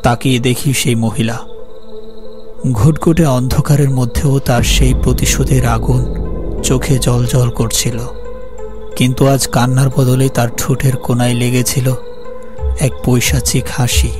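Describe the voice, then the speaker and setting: A man's voice: mid-range voice, little pitch movement, unhurried, pauses often, over music, natural voice. A man acting as a haunted, guilt-ridden young man, narrating his horror story in a brooding, fearful way. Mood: uneasy, suspenseful. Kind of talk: scripted dramatic horror narration.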